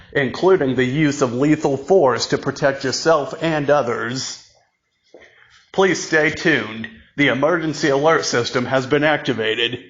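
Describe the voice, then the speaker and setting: A man slowly reading a mock emergency broadcast in a solemn announcer voice, stumbling and repeating words as he goes. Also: medium pitch, muffled recording.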